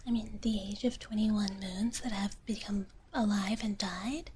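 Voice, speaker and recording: A woman streamer whispering gently and breathily in a live ASMR roleplay as a sweet dragon big sister, close to the mic. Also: mid-range voice, fairly even intonation, measured pace, slight background noise. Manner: gentle, breathy whispering, refers to herself by name in third person. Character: sweet, childlike dragon big sister who loves treats. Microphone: close ASMR microphone.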